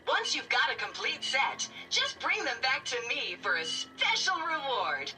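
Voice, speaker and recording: Super chirpy robot guide lady, high and bouncy, tinny far-off speaker. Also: very animated, measured pace, pushed and bright, soft background music, exaggerated voice. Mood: chipper. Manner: bubbly scripted sales cheer.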